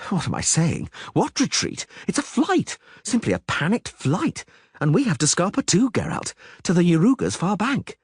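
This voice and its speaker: Man doing high panicky young bard, husky voice, dry sound, all drama. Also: wildly swooping pitch, normal speed, a little mellow, no room sound, full character voice. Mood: panicked. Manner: breathless, pleading and dramatic. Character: panicky excitable male bard, young adult.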